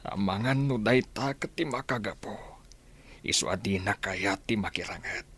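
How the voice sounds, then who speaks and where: A man acting a grave middle-aged husband in a radio drama, his voice husky. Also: fairly low pitch, moderate pace, fairly bright tone. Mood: grave, cautioning.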